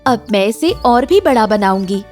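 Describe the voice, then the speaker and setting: A woman's voice: medium pitch, animated, moderate pace, darkish tone, faint music behind, lightly acted. A woman playing a modest, hopeful village wife in a moral-story cartoon, speaking simply and earnestly. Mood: grateful, determined.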